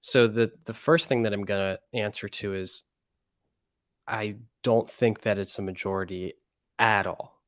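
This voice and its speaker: A man's voice: lowish voice, steady tone, measured pace, dry recording, clean studio sound. A man answering interview questions in a loose, rambling way, full of fillers and restarts. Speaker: interview guest. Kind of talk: casual conversational interview.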